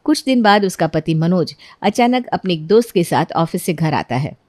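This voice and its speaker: A woman's voice: on the low side, animated, normal speed, darkish tone, no room sound, clean studio sound. A woman narrating a scripted family story in the manner of a storyteller.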